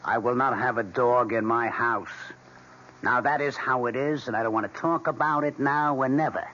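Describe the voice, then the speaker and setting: An actor with an American accent playing a curt, impatient, domineering husband in his forties in a radio drama, with a husky voice. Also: medium pitch, normal speed, pushed and bright, natural voice. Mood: stern. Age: 40s. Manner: curt, dismissive, blunt. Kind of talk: vintage radio drama acting. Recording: dull old radio recording.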